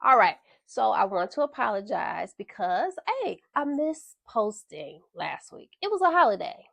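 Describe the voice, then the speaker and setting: A woman's voice: mid-range voice, big pitch swings, unhurried, vocal fry, no room sound. A congested woman with a slightly stuffy voice, hosting a frank storytime podcast and addressing listeners directly. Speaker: podcast host. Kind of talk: storytime monologue.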